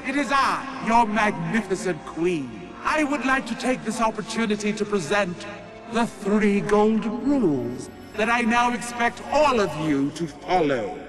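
A woman's voice: mid-range voice, big pitch swings, low-quality recording. A woman playing a vain, imperious queen villainess in a video game, pompous and regal. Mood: imperious, proclaiming. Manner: pompous, regal, drawn-out delivery. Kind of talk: video game cutscene acting. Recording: loud music behind the voice.

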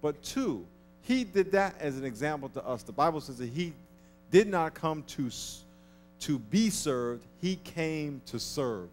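A man in his thirties preaching a sermon on a large church stage into a headset mic, lively and acting out people's grudges. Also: fairly high pitch, a little slow, faint music behind. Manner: animated, acts out petty grudges in a mocking voice. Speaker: pastor.

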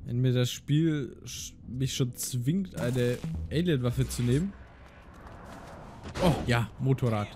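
A man's voice: mid-range voice, slow, background noise. A young man giving casual, goofy commentary over a game and singing silly rhymes. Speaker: let's play host.

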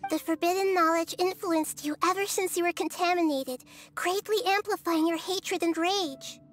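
A woman voicing a gentle, wise little goddess girl in a video game, calm, earnest and carefully articulated. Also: extremely high pitch, normal speed, faint music behind. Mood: earnest, composed. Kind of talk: scripted video game dialogue.

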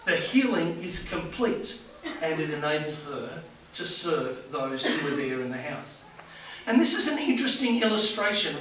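High-voiced pastor preaching in an echoey hall. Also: male voice, pushed and bright. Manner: earnest preaching with rising emphasis. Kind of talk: sermon. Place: small church hall with congregation. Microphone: far camera at back of room. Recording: echoey room recorded from far off with faint hiss.

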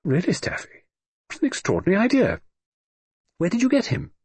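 A husky-voiced man voicing an elderly aristocratic great-aunt who is brisk, teasing and dry-witted. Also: mid-range voice, huge pitch swings, darkish tone, no room sound, voice pushed up, full character voice. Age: older.

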